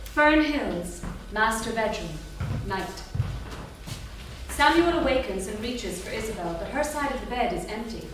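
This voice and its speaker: A woman's voice: a little high, lively intonation, measured pace. A woman reading scripted narration and stage directions at a live staged reading. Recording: distant, very echoey theatre with noise.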